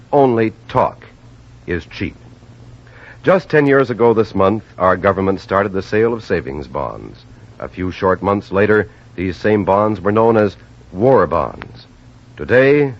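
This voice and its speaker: A male radio announcer delivers an emphatic, oratorical 1950s public appeal, his pitch rising and falling widely. His voice is husky and on the low side. The broadcast sounds dull and lo-fi, with faint music behind.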